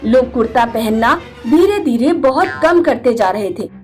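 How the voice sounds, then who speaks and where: A woman's voice: fairly high pitch, animated, measured pace, a little mellow, faint music behind. A woman narrating a scripted moral fairy tale for children. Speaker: storyteller.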